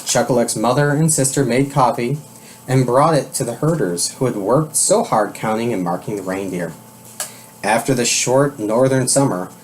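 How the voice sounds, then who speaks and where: A man reading a children's storybook aloud in a measured, expressive manner. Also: medium pitch, slight background noise, rough recording. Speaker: storybook reader.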